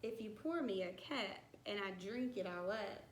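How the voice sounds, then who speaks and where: A woman teacher reading a rhyming picture book aloud to young children, with deliberate, singsong storybook phrasing. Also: mid-range voice, expressive. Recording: roomy echo with some background noise.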